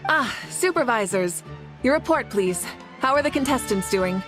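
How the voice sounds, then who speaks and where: An actress voicing a confident female leader hosting a tournament in a video game, calm and authoritative. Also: fairly high pitch, normal speed, natural voice. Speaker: tournament host. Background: game music.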